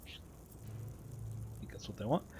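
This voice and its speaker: A man's voice: slow pace, warm tone. A man hosting a gameplay video, casually commenting and reading tutorial text aloud. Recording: faint background noise, game ambience.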